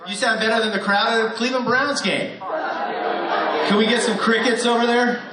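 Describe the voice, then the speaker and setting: High-voiced man warming up a crowd. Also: fairly even intonation, moderate pace. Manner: playful, joking, coaxing the crowd. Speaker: host of a live radio theater show. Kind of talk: warm-up talk to a live audience. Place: theater stage before a live audience. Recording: echoey hall with a crowd. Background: audience noise and applause.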